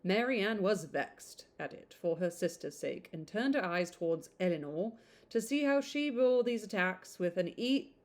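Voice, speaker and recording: A woman reading a classic novel aloud in an informal, expressive way, stumbling over some words and adding chatty asides. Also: mid-range voice, moderate pace.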